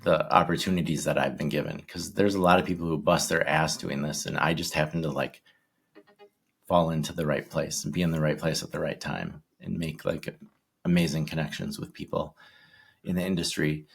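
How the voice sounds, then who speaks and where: A man in his forties, a guest in a casual interview, talking in a shy, halting way with many ums and restarts. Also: rumbling low, fairly even intonation, vocal fry, husky. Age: 40s.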